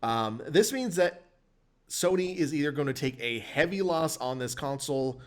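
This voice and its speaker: A man's voice: a little high, animated, normal speed. A man co-hosting a podcast, chatting and commenting on news in a blunt, opinionated, casually sweary way. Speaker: podcast co-host.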